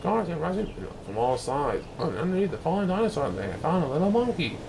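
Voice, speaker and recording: A man reading a children's picture book aloud in an expressive, dramatic way, occasionally stumbling over words. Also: on the high side, a little fast, gravelly, background noise. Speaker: storybook reader, show host.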